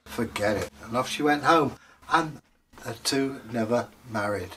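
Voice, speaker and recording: A man's voice: mid-range voice, bright, forceful tone, slight background noise. A man telling stories aloud in a theatrical, joking manner, drawing out words for emphasis. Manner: theatrical, jokey, drawn-out emphasis. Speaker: storyteller. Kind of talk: animated oral folktale telling and chat.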